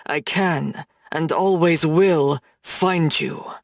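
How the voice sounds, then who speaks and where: A woman's voice: slow pace, vocal fry, no room sound, studio quality, exaggerated voice. A woman doing an icy, menacing male crime boss. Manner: low, icy, hissing delivery.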